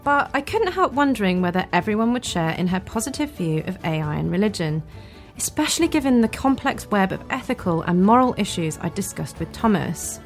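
A woman's voice: medium pitch, big pitch swings, a little fast, soft background music. A woman hosting, speaking reflectively as she interviews and narrates. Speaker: host and interviewer.

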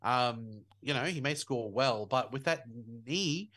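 Jokey man, bright voice. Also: medium pitch, unhurried. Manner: jokey, animated, laughs often. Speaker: podcast co-host. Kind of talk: casual sports chat.

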